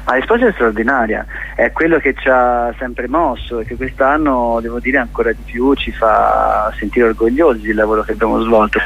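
A man answering in a radio interview as a festival director, halting and thoughtful as he searches for words. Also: mid-range voice, fairly even intonation, vocal fry, husky, highs cut off.

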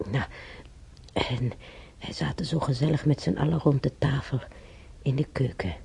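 A man playing an elderly German emigrant in a radio drama, reminiscing in a rambling, halting way with a German accent and husky, creaky voice. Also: mid-range voice, a little mellow. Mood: nostalgic. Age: older. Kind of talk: intimate radio drama monologue.

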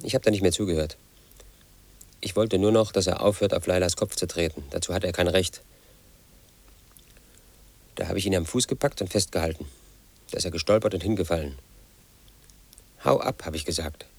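Paranoid loner in his 30s, low voice, flat and brooding, radio play monologue. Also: fairly quick, pauses often, dry recording. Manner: flat, brooding, quietly obsessive. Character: brooding paranoid man in his late thirties. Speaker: narrator of his own story.

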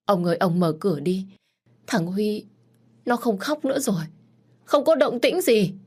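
A woman voicing a desperate mother who is the second wife of a landowner, pleading in a shrill voice near tears. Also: quick pace, natural voice. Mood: frantic pleading.

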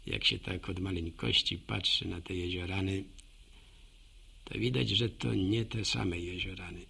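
Gravelly, husky village postman. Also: sits low, bright, forceful tone, exaggerated voice. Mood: reflective. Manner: folksy, rambling, musing aloud to himself and listeners. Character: chatty, homespun old village postman, proud local gossip. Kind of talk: radio drama monologue.

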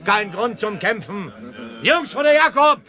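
Scheming one-legged pirate rabble-rouser, very high, sharp forceful shouting, radio drama. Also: male voice, very animated, unhurried, heavily acted. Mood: rousing, persuasive. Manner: shouting, rabble-rousing calls. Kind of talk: radio play acting.

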